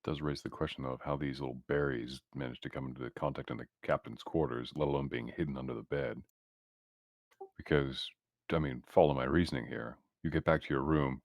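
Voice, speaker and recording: Super deep voiced guy playing a tabletop rpg, close dry sound, casual in character talk. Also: dry recording. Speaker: roleplaying game player.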